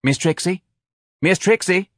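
An audiobook office manager, as a male narrator puts him on, lifted well above a natural speaking pitch. He is effusive and anxiously apologetic, eager to please, and recorded very dry. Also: a little slow, fairly bright tone, no room sound. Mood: eager welcome. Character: dapper, eager, flustered middle-aged male office manager.

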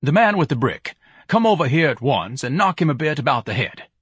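Audiobook role: grandiose, irate male windbag, theatrical, swooping delivery, husky edge. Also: fairly high pitch, very animated, a little fast, dry recording, studio quality, pitched above the performer's own voice, full character voice. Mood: urgent, commanding. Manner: pompous, theatrical, florid diction. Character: pompous, furious, bellowing man, grandiose agitator.

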